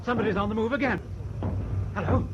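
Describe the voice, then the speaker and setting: A man's voice: high-pitched, quick pace, lightly acted. An actor playing an alert, guarded merchant seaman held prisoner in a vintage radio drama. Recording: dull, faintly noisy old radio recording.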